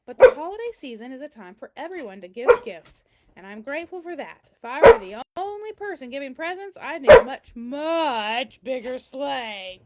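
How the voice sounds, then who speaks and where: A woman's voice: sits high, big pitch swings, normal speed, heavy background noise. A woman voicing a kindly, very old man who answers a child's letters in a storybook, reassuring and explanatory. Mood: kindly, explaining. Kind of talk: children's storybook read-aloud.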